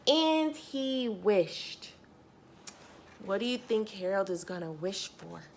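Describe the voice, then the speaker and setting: A woman's voice: medium pitch, takes it slowly, gravelly, a little hiss or hum. A woman reading a picture book aloud to young children, expressively, stressing key words and speaking directly to her listeners.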